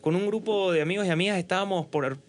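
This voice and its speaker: A man's voice: sits high, fast. A man with an Argentine Spanish accent, hosting and interviewing in a casual podcast conversation. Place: home studio.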